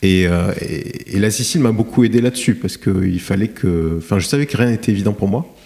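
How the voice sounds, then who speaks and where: Middle-aged man, deep and warm, halting and reflective interview guest. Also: vocal fry. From France. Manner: hesitant, many fillers and restarts. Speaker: guest, cement plant director. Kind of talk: podcast interview, reflective storytelling.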